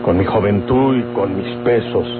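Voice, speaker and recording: Guy acting proud old northern mexican gunslinger, deep folksy voice, radio play, super tinny and hummy recording. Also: moderate pace, natural voice. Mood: proud, reflective. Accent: rural northern Mexican. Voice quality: rustic, folksy speech. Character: aging, moneyed gunman, stubborn, proud, authoritative. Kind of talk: Mexican golden-age radio drama acting. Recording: old narrowband radio recording, loud hum.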